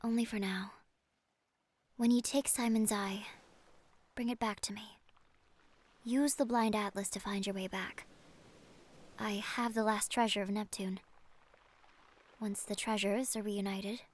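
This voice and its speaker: A woman playing a kindly ancient siren in an audio adventure, speaking in a gentle, solemn, measured manner. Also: mid-range voice, fairly even intonation, close to an everyday voice. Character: kindly ancient siren, a female sea monster. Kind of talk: audio roleplay acting.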